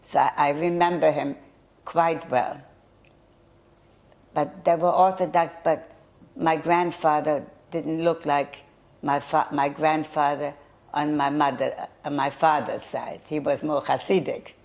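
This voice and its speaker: A woman's voice: sits low, fairly even intonation, measured pace, darkish tone. An older woman whose first language was German, recalling her childhood in an oral history interview, hesitant and searching for words. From Poland. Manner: hesitant, searching memory with restarts.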